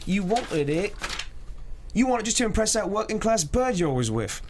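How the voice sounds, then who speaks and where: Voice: medium pitch, big pitch swings, normal speed, a little mellow, a little hiss or hum, close to an everyday voice. A performer at a table read playing Mickey's part, a cocky, frazzled young British male rapper, mock-earnest and boastful. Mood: frazzled, defensive. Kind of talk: comedy script table read.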